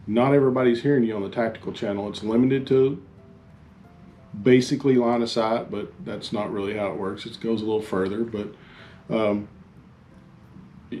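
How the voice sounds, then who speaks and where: A man giving an informal instructional talk as a fire chief, halting and plain-spoken. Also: lowish voice, warm tone. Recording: faint background noise.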